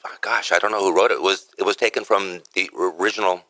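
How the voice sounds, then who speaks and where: A man's voice: sits low, steady tone, quick pace, pushed and bright, no echo. A man speaking casually as a radio DJ and interview guest, emphatic and repeating himself for stress. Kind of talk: casual chat.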